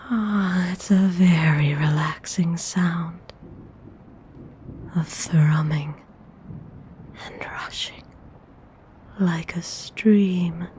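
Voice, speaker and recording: A woman voicing a gentle, courteous vampire noblewoman in an ASMR roleplay, whispering with breathy, husky, tender formality. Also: fairly low pitch, slight background noise. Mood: tender, hungry. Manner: slow, hushed, tenderly formal.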